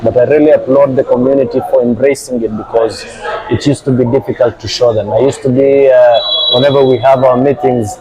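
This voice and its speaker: A middle-aged man from Uganda, a football club director, answering interview questions with many hesitant filler pauses. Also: mid-range voice, fairly even intonation, crowd noise, low-quality recording.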